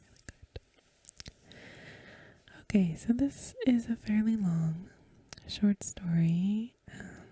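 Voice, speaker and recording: A woman speaking in a hushed, gentle ASMR style, breathy and mostly whispered, unhurried as she hosts and reads aloud. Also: fairly low pitch. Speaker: host of an ASMR channel, academic reader. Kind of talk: soft-spoken ASMR talk and story reading.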